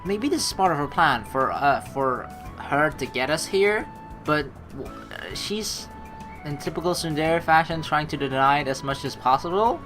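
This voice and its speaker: A young woman reading a visual novel aloud with joking, swearing asides, animated and quick to laugh. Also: deep voice, music in the background. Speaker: let's play streamer reading a visual novel.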